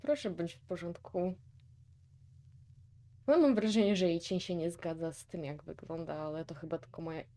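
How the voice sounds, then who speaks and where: Polish female reaction host, low mellow voice, casual and musing, thinking out loud as she watches. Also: moderate pace, long pauses. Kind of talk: casual reaction commentary on a horror video.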